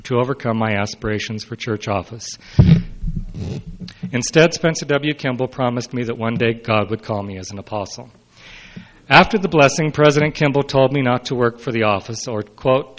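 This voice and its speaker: Male, middle-aged or older, medium pitch, measured delivery of a written autobiographical talk with occasional off-script asides. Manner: measured, announces quote and unquote, brief unscripted asides. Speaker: historian giving a talk. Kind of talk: reading a prepared autobiographical speech aloud.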